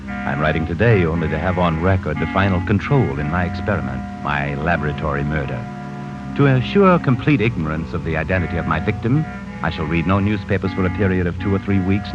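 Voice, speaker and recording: A man's voice: low-pitched, a little fast, close to an everyday voice. An actor playing an obsessed man who narrates his journal about a murder in a 1940s radio drama. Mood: brooding. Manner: brooding, haunted journal narration. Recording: lo-fi old radio broadcast with music.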